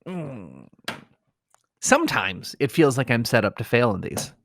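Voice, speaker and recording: A man's voice: mid-range voice, sing-song, takes it slowly, creaky, a bit bright, slight background noise. An American man hosting a comedy podcast, reading mock obituaries with strained solemnity and chatting casually. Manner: mock-solemn reading that keeps breaking into suppressed laughter.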